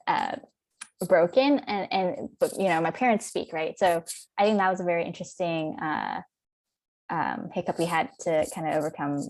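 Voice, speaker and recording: A woman talks casually on a festival Q&A panel, her speech full of fillers. Her voice sits a little low, and the sound is dry with no room around it. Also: a little mellow, no room sound. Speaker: filmmaker at a Q&A.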